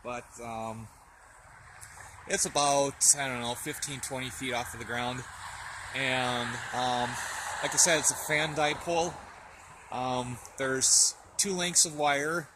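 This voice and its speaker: A man giving a casual, unscripted show-and-tell as a vlogger outdoors, speaking in a halting way as he thinks aloud. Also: medium pitch, fairly even intonation. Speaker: hobbyist vlogger. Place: outdoors in a yard in winter. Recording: outdoor camera audio with faint background noise.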